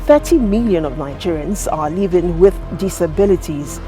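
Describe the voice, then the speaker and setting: A woman reporter speaking clearly and directly in a news report. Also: fairly low pitch, lively intonation, moderate pace, warm tone, soft background music.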